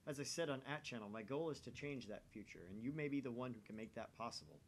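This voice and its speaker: A man's voice: medium pitch, lively intonation, quick pace, a little hiss or hum, lightly acted. A man voicing a cryptic, earnest time traveler from a dystopian future in a visual novel, formal and portentous.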